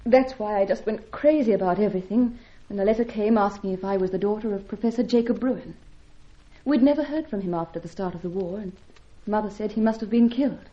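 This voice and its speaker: A woman's voice: mid-range voice, fast talker, close to an everyday voice. An actress playing a helpless, anxious young woman in an old radio adventure serial, with a genteel, earnest, fretful delivery. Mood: wistful, demure. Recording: dull, lo-fi old radio recording.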